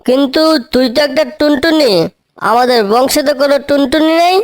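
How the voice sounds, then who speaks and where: A woman's voice: high voice, fairly even intonation, a little fast, close to an everyday voice. A woman voicing a bossy eagle uncle, a cartoon villain with a commanding manner. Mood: suspicious, stern. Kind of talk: children's cartoon story dialogue.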